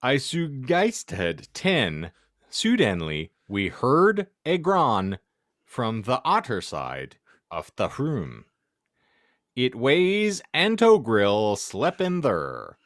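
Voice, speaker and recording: A male podcast host reads fan fiction aloud with theatrical comic flair. His pitch swings widely, the pace is very slow and halting, and the sound is dry and close. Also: huge pitch swings, a bit bright, dry recording.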